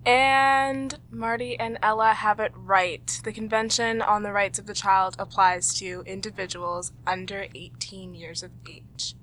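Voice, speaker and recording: A woman's voice: medium pitch, level tone, measured pace, hardly any pauses, fairly bright tone, a little hiss or hum, poor audio. A woman with a Canadian accent hosting, presenting quiz questions and leading a group discussion. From Toronto, Canada. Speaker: host and quizmaster.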